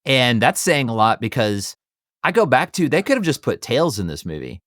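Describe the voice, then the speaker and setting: A man's voice: medium pitch, sing-song, normal speed, fairly bright tone, no room sound, studio quality. A man hosting a casual film discussion, talking in an enthusiastic, conversational fan's way. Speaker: podcast host.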